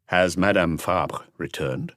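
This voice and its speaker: A terse police chief inspector, acted by a male audiobook reader, with a low voice and slow, deliberate delivery. He questions quietly and probingly in a dry, close recording. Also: no room sound. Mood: measured, probing. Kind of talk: audiobook character dialogue.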